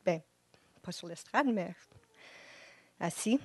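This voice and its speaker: A woman with a Quebec French accent, English-speaking by upbringing, giving a halting, shy personal faith testimony at a church microphone. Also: fairly low pitch, lively intonation, a little mellow, husky, no echo. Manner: halting, shy, many restarts. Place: church service. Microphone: microphone before an audience.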